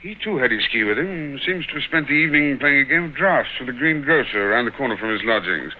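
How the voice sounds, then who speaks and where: A man's voice: medium pitch, expressive, fast, lightly acted. A man playing a fussy, pompous senior government official in a vintage British radio drama, speaking precisely with an RP accent. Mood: precise, informative. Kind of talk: 1950s-60s British radio drama acting. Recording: dull, hissy vintage radio recording.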